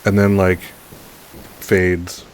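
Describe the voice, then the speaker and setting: A man running a tabletop roleplaying game as game master. He describes scenes and hands out rulings in a relaxed, easygoing way. His voice sits fairly low. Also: extremely slow, a little hiss or hum, low-quality recording.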